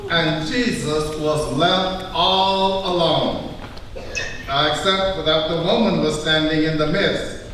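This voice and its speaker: A man narrating a scripture story live in a church, delivering it deliberately, phrase by phrase. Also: fairly high pitch, steady tone, fairly bright tone, a little hiss or hum. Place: large church, recorded live. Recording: echoey church hall, far mic, faint music.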